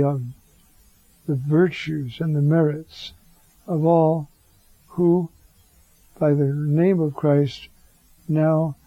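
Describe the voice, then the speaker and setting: A hoarse man giving a slow, reflective homily as a priest, halting often with fillers. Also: a little high, dry recording, lo-fi sound.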